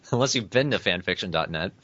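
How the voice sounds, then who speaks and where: A male co-host with a fairly low voice, chatting casually. He is wry and a bit crude in his humor. The sound is close and dry with a dull, lo-fi top end. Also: fairly bright tone, no room sound. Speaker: podcast co-host. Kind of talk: casual group podcast chat.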